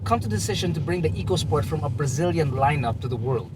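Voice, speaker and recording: A man's voice: a little high, expressive, fast, background noise. A young man interviewing a guest in a car, playful and teasing. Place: inside a car.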